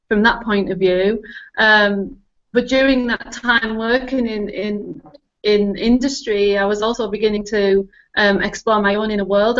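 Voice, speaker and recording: A woman with a Northern English accent tells her story in a chatty, easygoing way. Her pitch sits in the middle range, and she fills pauses with ums. The live stream audio is a little dull and muffled. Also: fairly bright tone. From Northern England. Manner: chatty, laughs easily, many ums. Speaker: guest. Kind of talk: relaxed interview talk.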